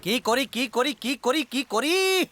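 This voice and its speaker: A man voicing an excitable, exclamatory cartoon hero who chides his friend like a worried leader. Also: very high voice, slow pace, very noisy, full character voice. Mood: panicked. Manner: exclamatory, chiding. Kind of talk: animated cartoon voice acting. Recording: loud music behind.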